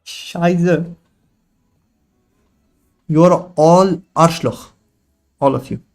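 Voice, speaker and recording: A man's voice: a little high, drawn-out words. A young man hosting a live trading stream, joking with chat in slang and explaining chart setups in loose bursts. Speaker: livestream trading host.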